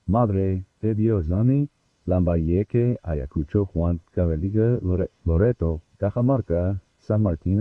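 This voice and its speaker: A man's voice: low voice, no echo, recorded from a distance. A man reading a scripted emergency broadcast announcement in a formal, grave manner over a tinny, phone-like channel.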